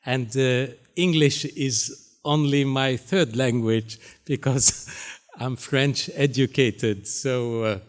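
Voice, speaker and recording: Man, Arabic first language, from Syria and Lebanon, priest giving keynote, slow, halting, middle pitch. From Syria, raised in Lebanon. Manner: halting, many pauses and fillers. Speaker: Jesuit priest, keynote speaker. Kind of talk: keynote speech. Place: university hall stage.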